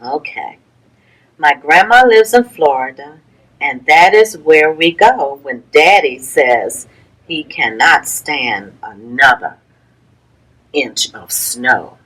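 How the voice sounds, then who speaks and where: A woman reading a rhyming children's picture book aloud as a story-time reader, with deliberate, rhythmic phrasing for young listeners. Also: deep voice, lively intonation, a bit bright, low-quality recording.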